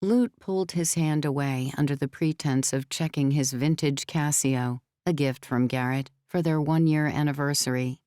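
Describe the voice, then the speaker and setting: Low-voiced woman narrating a novel. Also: mellow, no echo, clean studio sound. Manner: measured, storytelling. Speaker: audiobook narrator. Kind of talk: scripted fiction narration.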